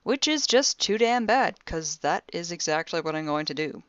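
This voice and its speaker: Muffled dry recording, woman playing a low voiced snarky young space crew gal doing her audio log, deadpan n fed up. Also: moderate pace, no echo, natural voice. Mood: eager, scheming. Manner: wry, deadpan, exasperated, British slang. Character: sardonic young spaceship crewwoman keeping an audio diary. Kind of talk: audio drama monologue, personal log.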